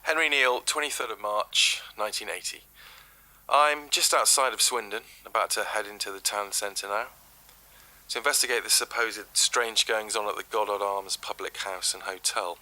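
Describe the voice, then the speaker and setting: An actor playing a curious, formal folklore professor recording a field diary on tape. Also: male voice, lowish voice, steady tone, normal speed, pushed and bright, poor audio. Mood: curious, measured. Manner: formal, wordy, precise.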